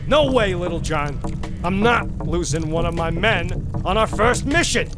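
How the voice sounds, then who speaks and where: Man playing a high-voiced, decisive hero leader. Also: lively intonation, music in the background. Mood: urgent, commanding. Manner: brisk, commanding. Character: earnest, decisive young American squadron leader hero.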